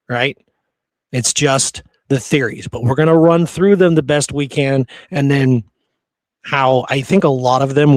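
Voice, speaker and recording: A man's voice: medium pitch, a little mellow, no room sound. A man co-hosting a history podcast, telling old stories in a casual, colloquial way. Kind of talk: casual conversational history storytelling.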